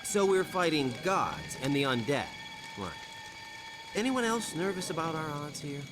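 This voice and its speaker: A man's voice: on the high side, big pitch swings, a little slow, music in the background. A man playing a jittery, wisecracking superhero in a video game, flippant and sarcastic.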